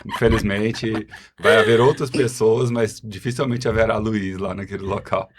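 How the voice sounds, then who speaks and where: A man's voice: lowish voice, steady tone, normal speed, a crowd in the background. A man with a Goiás Brazilian accent giving casual interview answers, self-deprecating and wandering into explanatory asides. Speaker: podcast guest, city health secretary.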